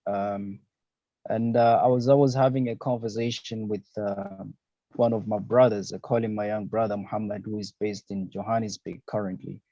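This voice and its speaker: A man's voice: lowish voice, little pitch movement, moderate pace, dark tone, no echo. A young man from Zambia, a fashion designer, answering interview questions in an easygoing way with lots of fillers. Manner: easygoing, many fillers and "you know, man". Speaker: fashion designer, podcast and radio host. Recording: dull lo-fi sound.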